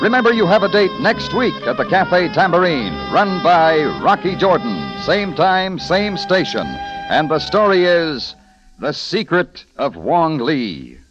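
A male radio announcer with a polished, salesmanlike delivery in old-time advertising style. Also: sits high. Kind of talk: 1940s-50s radio advertising and credits announcing. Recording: lo-fi vintage radio, music behind.

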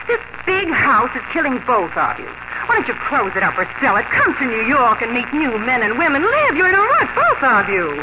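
A woman's voice: high voice, wildly swooping pitch, brisk, few pauses. A young woman playing an eager, persuasive grown daughter in a 1950s radio drama, gushing and emphatic. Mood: worried pleading. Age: young adult. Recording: very noisy, dull 1950s radio recording.

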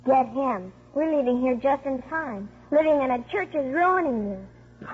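Tough-talking little girl, high voice, slangy, muffled vintage radio drama. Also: animated, measured pace, slightly rough, exaggerated voice. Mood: sulky, wistful. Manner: slangy, plucky. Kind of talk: 1950s radio acting. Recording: muffled 1950s radio recording.

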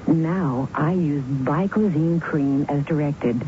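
A woman's voice: deep voice, slow pace, a little hiss or hum. A woman in a radio commercial telling of her relief in a testimonial way. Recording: dull old radio ad.

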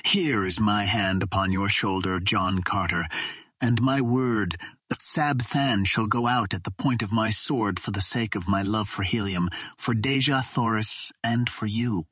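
Man reading as a gallant, husky Martian warrior. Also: on the low side, a little slow, a bit bright, dry recording. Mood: solemn, resolved. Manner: earnest, dramatic declarations. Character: passionate, loyal male Martian warrior, gallant and proud.

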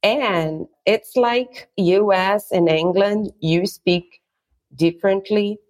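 Slow-speaking Brazilian woman guide. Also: mid-range voice, a little mellow. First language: Portuguese. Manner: measured, explanatory, searching for words. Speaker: tour guide. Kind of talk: interview guest explaining history.

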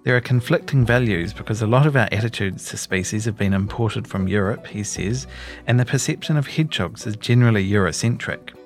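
A man's voice: on the low side, brisk, soft background music. A man reading a scripted news feature as narrator.

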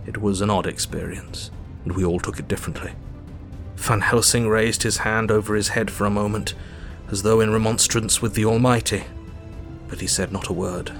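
A man playing a grave Victorian doctor narrating his diary in a measured, literary way for an audio drama. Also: lowish voice, natural voice.

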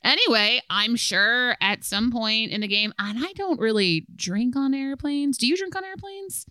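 Woman podcast co-host, bright and crisp, expressive pitch, casual and slangy. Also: unhurried, no echo, clean studio sound. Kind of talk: casual chat.

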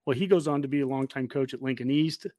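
A man's voice: medium pitch, steady tone, quick pace, mellow, dry recording, clean studio sound. A man talking casually and effusively as a sports podcast host, full of enthusiasm for his subject.

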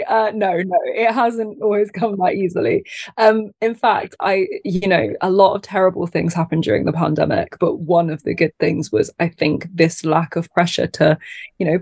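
A woman's voice: mid-range voice, no echo. Podcast guest, woman: soothing voice, self-deprecating, laughs easily, dry close mic. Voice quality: soft, soothing voice. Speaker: guest, content creator. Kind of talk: casual podcast conversation.

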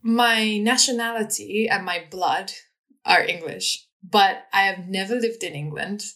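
Relaxed woman in her twenties. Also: mid-range voice, measured pace. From Japan. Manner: relaxed, rambling with fillers. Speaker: guest. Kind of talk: casual interview answer.

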